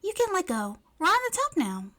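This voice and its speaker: A woman voicing a bold, eager teenage girl inventor with an excitable, emphatic delivery in a fan fiction audio story. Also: very high voice, brisk, pitched above the performer's own voice, heavily acted. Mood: confident.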